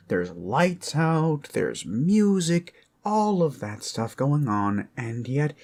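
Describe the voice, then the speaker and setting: Performer acting obsessed yandere kidnapper, ASMR roleplay, extremely slow and halting, swooping pitch, lapses into whispers, eerie music behind. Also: full character voice. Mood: adoring, menacing. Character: obsessed, unhinged kidnapper doting menacingly on a captive. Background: eerie background music.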